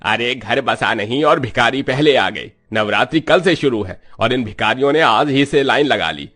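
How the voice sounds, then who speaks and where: A man's voice: mid-range voice, lively intonation, normal speed, fairly bright tone. A man playing a rude, dismissive man who scolds poor people in a moral story cartoon. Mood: scornful, scolding. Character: rude scornful man shooing away poor beggars.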